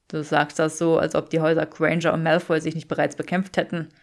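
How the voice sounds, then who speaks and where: A woman's voice: low voice, little pitch movement, fairly quick, pitched below the performer's own voice. A woman voicing a haughty young aristocratic man in an audiobook, teasing in a dry, mock-dramatic way.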